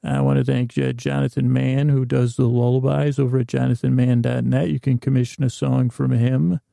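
A man hosting a bedtime podcast with a rambling, halting, goofy improvised monologue that trails off mid-sentence. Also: mid-range voice, flat delivery, creaky, dark tone, dry recording. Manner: goofy, whimsical. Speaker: podcast host.

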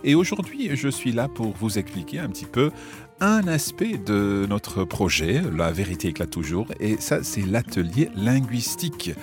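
A man hosting a podcast as a French teacher, explaining a course in a measured, explanatory way and slipping between English and French. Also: fairly low pitch, big pitch swings, music in the background. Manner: measured, explanatory, with some restarts.

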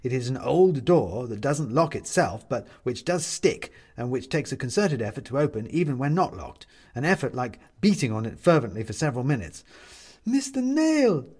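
A man narrating a scripted story as an audiobook reader, in a wry, measured manner. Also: medium pitch, animated, darkish tone, husky.

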